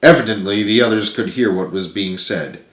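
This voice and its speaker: A man's voice: on the low side, moderate pace. A man reading his own book aloud as dramatic storybook narration, earnest and emphatic. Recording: roomy, echoing room sound.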